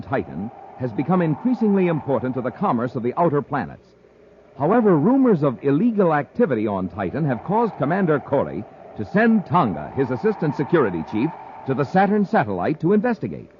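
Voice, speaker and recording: A man's voice: fairly high pitch, very animated, fairly quick. A man announcing in scripted 1950s radio style, with emphatic, punchy delivery. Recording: muffled vintage radio with faint noise.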